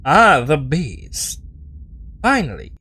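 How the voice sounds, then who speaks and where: High-pitched man doing casual game commentary. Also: huge pitch swings, slow, pushed and bright, slightly rough. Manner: playful, offhand reactions, echoes game lines. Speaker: game streamer. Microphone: own microphone with compression.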